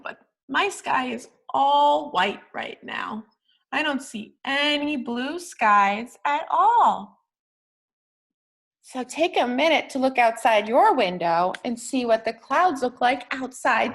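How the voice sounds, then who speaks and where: A woman with a fairly high voice reads a picture book aloud for small children. She takes it very slowly, like a teacher. Her talk is simple and encouraging, full of questions for the listener. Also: a bit bright.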